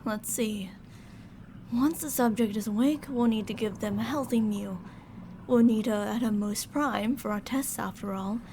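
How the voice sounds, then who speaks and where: Woman acting a creaky-voiced, sweetly menacing female scientist. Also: on the high side, normal speed, warm tone, a little hiss or hum, natural voice. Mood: businesslike, slightly worried. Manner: sugary politeness turning stern and commanding. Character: controlling female mad scientist, sweet-talking, fretful captor. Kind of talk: ASMR roleplay audio drama.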